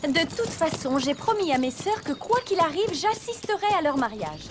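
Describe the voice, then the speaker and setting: A woman playing a sweet, devoted young maiden in an animated fairy tale, speaking earnestly and gently but with resolve. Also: high-pitched, normal speed, background noise. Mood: resolute. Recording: music behind the voice.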